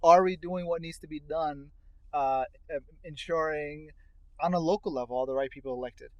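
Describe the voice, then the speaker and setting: Higher-pitched man asking probing questions. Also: moderate pace, dry recording, muffled recording. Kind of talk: casual conversational discussion. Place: inside a car.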